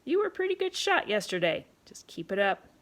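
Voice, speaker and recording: A woman's voice: mid-range voice, big pitch swings, fairly quick, fairly bright tone, pitched above the performer's own voice. A woman doing a brusque, coarse pub owner. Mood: gruff, bossy. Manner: rough, ungrammatical talk, bossy.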